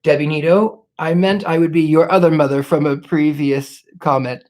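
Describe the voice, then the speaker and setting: A man hosting a live stream as a psychic, reading out viewers' questions and answering them in a genteel, flowery way with many halting restarts. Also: fairly high pitch, steady tone, darkish tone. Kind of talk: live stream, reading chat comments aloud. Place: seated at a wobbly table indoors.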